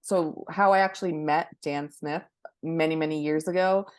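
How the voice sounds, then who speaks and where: Low-voiced woman, close dry sound. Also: fairly even intonation, normal speed, no room sound. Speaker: guest expert, book marketing professional. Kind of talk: conversational interview answers.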